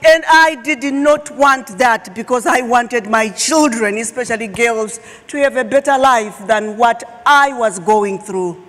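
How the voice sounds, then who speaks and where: Slow, emphatic woman on stage. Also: on the high side, fairly bright tone. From Zimbabwe. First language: Shona. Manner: slow, emphatic, dramatic pauses. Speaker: keynote speaker. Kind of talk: personal storytelling talk. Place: large auditorium stage. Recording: long hall reverb.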